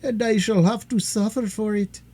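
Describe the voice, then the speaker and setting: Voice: mid-range voice, steady tone, normal speed, warm tone, pitched above the performer's own voice, lightly acted. A reader voicing a fretful, easily offended nurse to a young princess in a storybook. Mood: tearful. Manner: fussy, easily offended, scolding. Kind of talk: storybook character voice.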